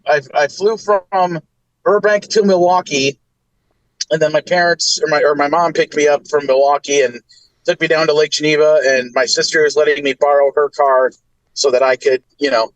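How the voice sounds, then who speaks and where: A man's voice: on the high side, fairly bright tone, no echo, rough recording. A man from the US Midwest co-hosting a podcast, telling stories in a rambling, chatty way. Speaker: podcast co-host. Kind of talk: casual podcast chat.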